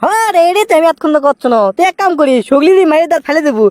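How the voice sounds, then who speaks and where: A woman's voice: very high-pitched, animated, very fast, darkish tone, no room sound. A woman voicing a brash, boastful young village footballer in a comic cartoon, with a rural northern Bangladeshi accent. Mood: bossy, scheming. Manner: brash, boastful, scolding banter.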